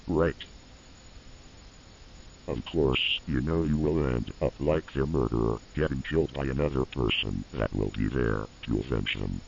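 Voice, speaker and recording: A man's voice: extremely low pitch, gravelly, darkish tone, heavily acted. A man voicing a menacing, taunting entity in an analog horror tape, needling the listener directly. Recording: dull, noisy tape with hiss.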